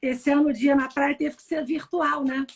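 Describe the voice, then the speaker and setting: A woman, hoarse and short of voice, speaking gently as a supportive co-host. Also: sits high, fairly even intonation, normal speed. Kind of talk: live religious chat.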